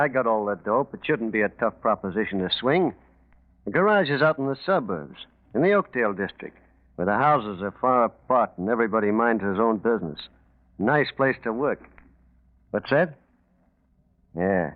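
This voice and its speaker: Actor as cocky small-time racketeer, thin narrow sound. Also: male voice, mid-range voice, no room sound, close to an everyday voice. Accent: American. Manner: slangy, clipped tough-guy talk. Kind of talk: 1940s radio acting. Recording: thin, dry, narrow-band 1940s radio recording.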